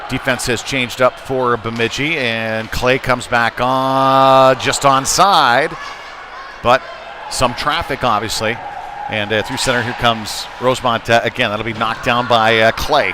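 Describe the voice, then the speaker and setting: Man calling hockey over rink noise. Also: medium pitch. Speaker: ice hockey play-by-play commentator. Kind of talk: live sports commentary. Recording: clear background noise, live rink ambience. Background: ambient sports venue noise.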